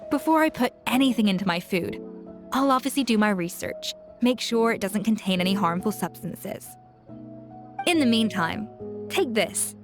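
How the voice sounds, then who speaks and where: A woman's voice: mid-range voice, close to an everyday voice. A woman playing a self-assured young chef in a video game, passionate and articulate as she explains things. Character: confident, exacting young female chef, passionate about cooking. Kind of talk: video game character dialogue.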